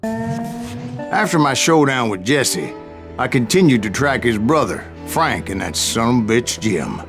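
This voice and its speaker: An actor playing a grizzled aging American gunslinger who tells of his exploits in a gruff, boastful drawl for a Western game. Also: medium pitch, big pitch swings, measured pace, over music. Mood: boastful, reminiscing. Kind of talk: Western game storytelling narration.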